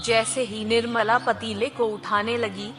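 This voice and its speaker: A woman's voice: on the high side, little pitch movement, measured pace, loud music behind the voice. A woman narrating a scripted children's moral story as the storyteller.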